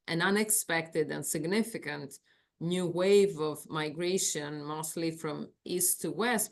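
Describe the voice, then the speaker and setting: A woman's voice: deep voice, gravelly. A woman from Greece with a Greek accent, giving a conference talk from her office over a video call on her laptop, thoughtful and searching for words with fillers. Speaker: academic panelist.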